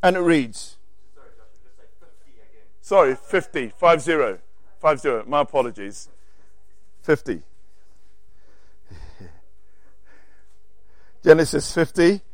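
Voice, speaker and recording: Animated preacher with an accent. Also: male voice, a little high, takes it slowly, long pauses, no room sound. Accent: non-native English. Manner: animated, reads scripture aloud, self-correcting asides. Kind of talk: sermon teaching from scripture.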